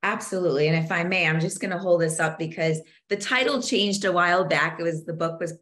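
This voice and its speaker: A middle-aged woman, speaking deliberately and earnestly as an interview guest explaining things on a video call. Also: on the low side, a bit bright. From born in Zambia, moved to the United States at age four. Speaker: guest author and co-host. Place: home office with bookshelves, indoors.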